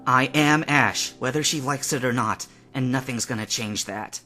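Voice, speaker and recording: A man's voice: mid-range voice, moderate pace, faint music behind. Man voicing a cold, menacing young man, curt and controlled. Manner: cold commands, bursts into desperate shouting.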